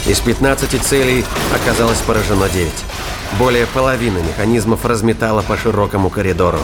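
A man reading scripted narration of a science fiction thriller as a dramatic, deliberate storyteller. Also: lowish voice. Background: music and battle sound effects.

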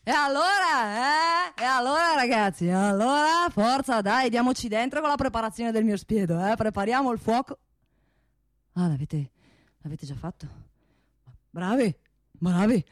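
A woman's voice: fairly high pitch, animated, normal speed, no echo, clean studio sound, natural voice. A woman playing a blustering, pushy barbecue boss in a radio theatre monologue, hurrying everyone along with repeated urging. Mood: bossy, jovial. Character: loud, pushy host bossing lads around a barbecue spit.

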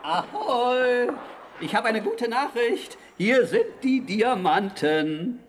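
A man's voice: very high voice, big pitch swings, takes it slowly, slight background noise, exaggerated voice. A man playing a feisty, boastful cartoon sailor in a comic children's radio play, exclaiming and thinking aloud to himself. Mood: cheerful.

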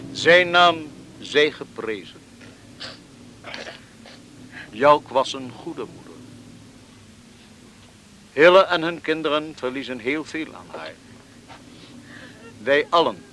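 A village preacher, delivers a funeral sermon in a radio drama. His fairly high voice moves slowly and gravely, declaiming each phrase over faint noise. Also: sharp tone, heavily acted, low-quality recording.

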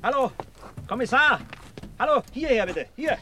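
An actor playing a brisk, impatient police lieutenant in a German radio crime play. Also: male voice, extremely high pitch, big pitch swings, noisy background. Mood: brisk, calling.

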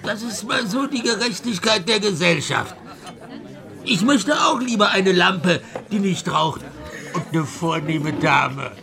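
A man's voice: sits high, animated, unhurried, gravelly, a crowd in the background, heavily acted. A man playing a stubborn, resentful small-town schoolteacher in a German radio play, speaking in an emphatic grumble.

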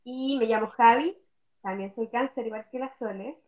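A woman's voice: fairly high pitch, moderate pace, a little hiss or hum. A Chilean woman hosting a casual podcast, guiding the talk with an upbeat manner and frequent "eh" fillers. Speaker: podcast host. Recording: slightly dull lo-fi sound.

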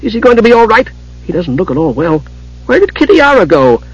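A man's voice: high voice, sing-song, brisk, husky, dull audio, pitched above the performer's own voice, heavily acted. A man voicing a small, childlike kender who chatters and asks questions eagerly and earnestly in a storybook. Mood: anxious, curious. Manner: rambling, inquisitive, earnest.

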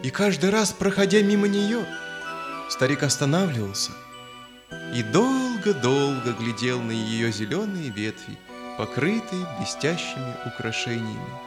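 A man narrating a scripted children's audio story in an expressive, dramatic manner. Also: medium pitch, a little slow. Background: background music.

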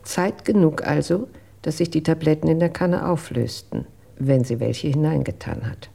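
An actress playing a reserved, dutiful household employee who recounts events in a measured, careful way in a radio drama, with a husky voice. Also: female voice, sits low, mellow, full character voice. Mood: measured recollection. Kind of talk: German radio drama acting.

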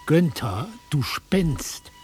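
An actor playing a bored, blunt middle-aged travelling salesman with a raspy voice in a naturalistic radio drama. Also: fairly high pitch, big pitch swings, extremely slow, a little mellow, soft background music, exaggerated voice. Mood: impatient, annoyed. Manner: offhand, dismissive, grumbling.

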